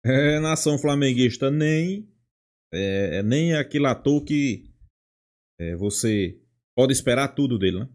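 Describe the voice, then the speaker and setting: Male Brazilian football channel host, mid-range voice, halting casual opinion delivery with frequent tag questions. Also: mid-range voice, expressive, a little mellow. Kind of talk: casual fan commentary.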